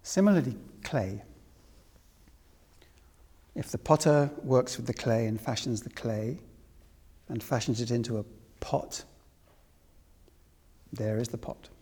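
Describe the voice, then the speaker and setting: A man from Wales giving a spiritual discourse to a live audience as the teacher, speaking deliberately with repeated phrases and rhetorical questions. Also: mid-range voice, warm tone, slightly rough. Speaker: spiritual teacher leading a talk. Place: reverberant room with an audience. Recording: long hall reverb.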